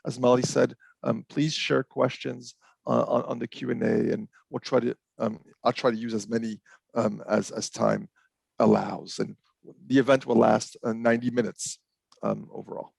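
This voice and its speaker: A man moderating an online panel, laying out the format hesitantly with many pauses and restarts. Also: medium pitch, vocal fry, darkish tone, husky, no echo. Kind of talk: introducing a panel event.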